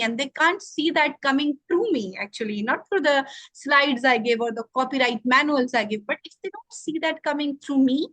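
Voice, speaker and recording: A woman's voice: sits high, fairly bright tone, no room sound, low-quality recording. A woman from Pakistan, a guest NLP trainer speaking earnestly and reflectively in a conversational interview, with many "you know" fillers. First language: not English.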